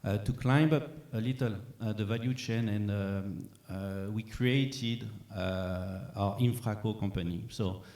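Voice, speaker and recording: Man, panelist, low warm voice, hesitant, many fillers, echoey hall. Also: a little slow, hardly any pauses. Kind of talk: conference panel remarks. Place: conference hall stage.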